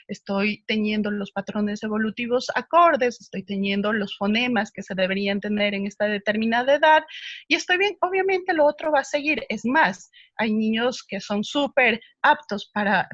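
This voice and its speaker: A woman's voice: on the high side, fairly bright tone, dry recording, highs cut off. A woman speech therapist answering viewer questions, explaining patiently with frequent hesitations.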